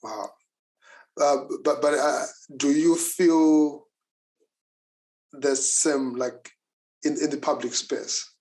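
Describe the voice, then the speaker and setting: A man's voice: fairly high pitch, slow pace, pauses often, darkish tone, poor audio. A man interviewing a DJ in an informal way, with repeated words and slang. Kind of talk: casual interview.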